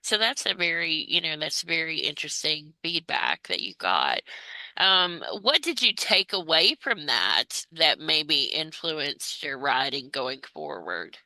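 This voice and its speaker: A woman's voice: deep voice, sing-song, unhurried, bright, forceful tone, dry recording, poor audio. A woman hosting a thoughtful, conversational interview, phrasing her questions in a reflective, tentative way. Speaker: interviewer, host.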